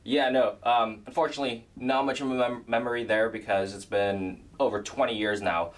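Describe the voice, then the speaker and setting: A man's voice: mid-range voice, steady tone, fairly quick, recorded from a distance. A young man from Costa Rica, interviewed in a small office at a desk microphone, upbeat and agreeable. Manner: upbeat, agreeable, laughs often. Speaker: executive assistant, guest. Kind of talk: casual interview chat. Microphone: desk microphone on stand. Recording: music bed under voice.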